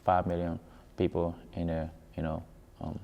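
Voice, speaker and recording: Deep-voiced Ghanaian man in echoey hall. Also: completely flat delivery, normal speed, vocal fry, warm tone. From West Africa, grew up in Ghana. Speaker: musician being interviewed. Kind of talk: casual interview storytelling. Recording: echoey hall, dull muffled sound.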